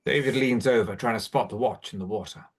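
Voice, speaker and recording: A man's voice: on the low side, fast talker. A man reading stage directions as scripted narration for a drama.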